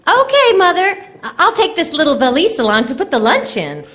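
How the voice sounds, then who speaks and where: A woman's voice: high-pitched, huge pitch swings, a little fast, a bit bright, heavily acted. A woman playing an eager, sweet, girlish teenage daughter, chirpy and polite, in old-time radio comedy style. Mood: eager, hurried.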